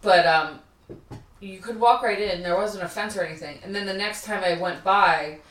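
A woman's voice: mid-range voice, normal speed, fairly bright tone. A woman co-hosting a podcast, chatting casually in an informal, blunt way. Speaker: podcast co-host. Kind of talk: casual chat, reacting and adding recollections. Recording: roomy echo, distant from mic.

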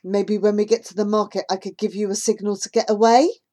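A woman's voice: mid-range voice, level tone, a little fast, dark tone, close to an everyday voice. A woman voicing a guilty, worried young boy who is torn about leaving his friend. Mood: pleading. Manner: hesitant, apologetic.

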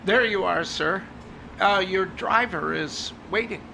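An actor playing a deferential museum guard in an audio drama, hesitant, servile and obliging. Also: male voice, high-pitched, slow, gravelly, very noisy, heavily acted.

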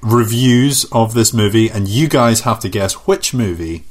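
A man's voice: lowish voice, unhurried. Man, podcast co-host, dry teasing banter, quizmaster.

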